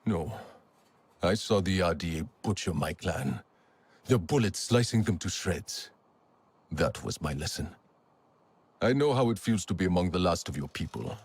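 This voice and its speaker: Proud alien clan mentor, game drama, very deep, husky, grave, formal. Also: male voice, animated, normal speed, long pauses, a little mellow, heavily acted. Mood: stern, bitter. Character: battle-hardened alien clan warrior, stern, proud mentor. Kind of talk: dramatic game cutscene acting.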